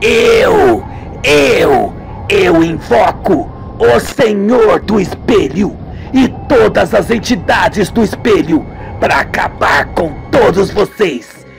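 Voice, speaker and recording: A man voicing an elderly woman turned terrible witch in a radio melodrama, menacing and imperious, with theatrical drawn-out delivery. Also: high-pitched, big pitch swings, creaky, soft background music, heavily acted. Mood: menacing, invoking.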